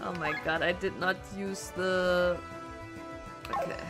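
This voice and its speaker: A woman chatting casually as she streams a game, informal and reactive, thinking out loud. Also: lowish voice, fairly even intonation, slow. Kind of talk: casual live gameplay chat. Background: game music.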